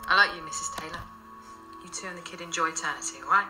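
A woman's voice: fairly low pitch, fast, music in the background, natural voice, low-quality recording. A woman playing a wry, breezy female paranormal agent in an audio drama, with dry sarcasm. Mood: businesslike.

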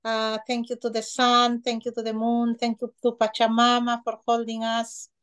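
A middle-aged woman from Peru, speaking slowly and reverently as a healer on a video call. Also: a little high, monotone, clean tone. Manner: slow, soft-spoken, reverent gratitude lists. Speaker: shamanic healer guest. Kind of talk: spiritual talk on a video call. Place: small decorated room indoors. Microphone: video call webcam.

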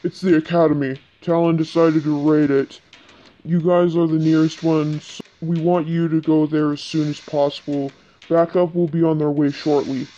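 A grave, imposing man acted out by a woman reader speaks low and evenly. The tone is dark and the recording dull, as a character in a fan-fiction reading. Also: little pitch movement, normal speed, faint music behind, natural voice. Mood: worried, urgent. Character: deep-voiced male characters, grave and imposing.

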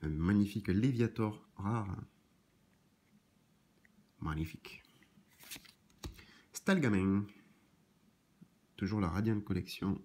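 A slightly hoarse man, off camera, rattling off card names while opening trading card packs, with chatty asides to viewers. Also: on the low side, slow, long pauses, mellow. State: slightly hoarse, clearing throat. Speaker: card shop owner and YouTuber. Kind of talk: casual card-opening vlog. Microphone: camera framing hands and cards, speaker unseen.

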